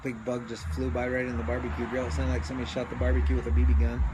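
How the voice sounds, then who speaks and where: A man chatting casually with viewers of a livestream from his backyard, telling deadpan tall tales. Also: medium pitch, rapid-fire, heavy background noise. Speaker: livestream co-host. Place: backyard outdoors by a barbecue grill.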